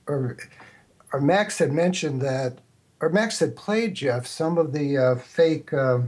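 An older man from the United States, an interview guest, reminiscing casually in a halting, meandering way. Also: on the high side, expressive, a little mellow, breathy edge. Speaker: interview guest, radio producer.

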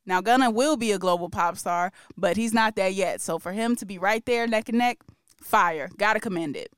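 A woman's voice: medium pitch, moderate pace, smooth, no room sound, clean studio sound. A woman co-hosting a casual pop-culture podcast, chatty and opinionated, laughing often. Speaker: podcast co-host.

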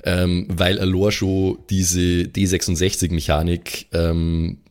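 A man's voice: sits low, little pitch movement, takes it slowly, darkish tone. A man with an Austrian accent talking casually and reflectively as a game master, dropping English gamer slang into his German. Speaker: tabletop game master and podcast regular.

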